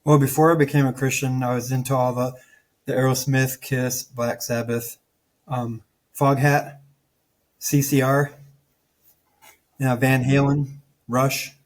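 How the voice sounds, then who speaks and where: A man's voice: mid-range voice, little pitch movement, unhurried, long pauses. An older man from Michigan, raised partly in Florida, a band guitarist chatting casually as a guest and telling stories. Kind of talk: casual storytelling.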